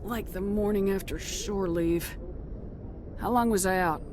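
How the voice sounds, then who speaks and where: A woman playing a composed, tough space commander in a video game, with a crisp, understated delivery. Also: mid-range voice, a little slow, background noise, close to an everyday voice. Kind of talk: dramatic video game voice acting.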